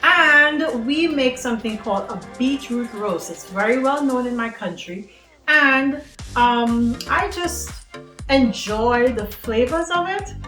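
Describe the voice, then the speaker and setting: A woman's voice: a little high, animated, takes it slowly, bright, forceful tone, reverberant, soft background music. A woman from Trinidad and Tobago hosting a home cooking demonstration, chatty and talking to viewers as friends. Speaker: cooking show host.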